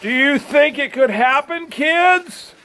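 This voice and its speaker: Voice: sits high, lively intonation, slow, noisy background, full character voice. A puppeteer voicing a cheerful train conductor in a children's puppet show, talking to the kids in an explanatory, sing-song way. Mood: explaining, excited.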